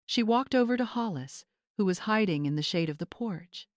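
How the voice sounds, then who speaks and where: A woman's voice: mid-range voice, expressive, moderate pace, no room sound, clean studio sound. A woman reading scripted dramatic narration as a storyteller.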